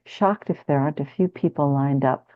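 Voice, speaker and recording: A woman with a notably low voice talks as a podcast guest in an earnest, affirming way. Her speech is conversational spiritual guidance, heard through a thin, band-limited connection. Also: normal speed, highs cut off. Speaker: spiritual guide working with clients.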